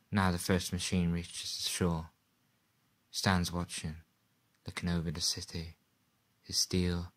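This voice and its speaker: Man acting a deep, doomed radio reporter. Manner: grave, terse, halting phrases. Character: doomed male radio reporter, grave eyewitness to an invasion. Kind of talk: radio play eyewitness reporting.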